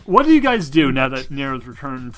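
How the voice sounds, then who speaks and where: A man's voice: mid-range voice, sing-song, brisk, a bit bright, soft background music, rough recording. A man casually narrating a tabletop role-playing game as game master, swearing now and then.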